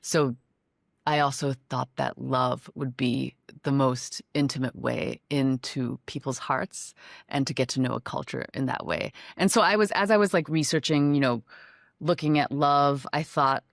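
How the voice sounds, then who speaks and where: A woman from Hong Kong, a documentary filmmaker, answering interview questions in a thoughtful, measured way. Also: extremely low pitch, creaky, no room sound, studio quality. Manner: thoughtful, measured, frequent "you know".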